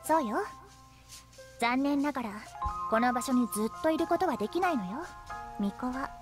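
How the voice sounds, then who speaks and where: Visual novel mistress of a rainy world: high, playful, breezy and self-assured, music underneath. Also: female voice, animated, fairly quick. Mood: brisk, assertive. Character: playful, bossy, mysterious young woman ruling a lonely rain world. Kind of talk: Japanese visual novel voice acting. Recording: game voice over background music.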